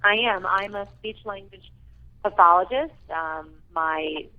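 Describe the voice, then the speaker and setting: A woman's voice: medium pitch, a little slow. A woman guest on a radio interview, talking about her work with earnest enthusiasm and frequent ums. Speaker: rehabilitation director, guest. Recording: tinny phone line.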